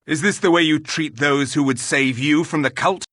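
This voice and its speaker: An actor playing a proud, grim resurrected warrior in a fantasy game, speaking formally and curtly with a sardonic edge. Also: male voice, on the high side, moderate pace, fairly bright tone, no echo, clean studio sound. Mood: scornful.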